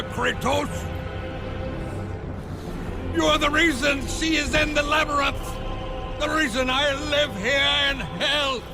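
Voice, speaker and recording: An actor playing a hulking, weary, bitter smith god and protective father in a video game, gravelly, slow and brooding. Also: high-pitched. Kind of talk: video game cutscene acting. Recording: music behind the voice.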